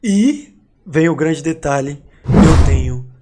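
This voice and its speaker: A man's voice: a little high, lively intonation, slow, music in the background. A man in his thirties from São Paulo with a Paulista accent, telling his life story to camera in a casual, reflective way with some street slang. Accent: Paulista Brazilian Portuguese. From São Paulo, Brazil. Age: 30s. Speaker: YouTuber.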